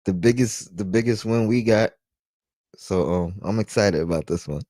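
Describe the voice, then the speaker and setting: An easygoing man co-hosts a casual fan podcast in a very low voice. He talks in a relaxed way and keeps checking in with "you know what I mean." The recording is dry and close. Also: moderate pace, no echo. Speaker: podcast co-host.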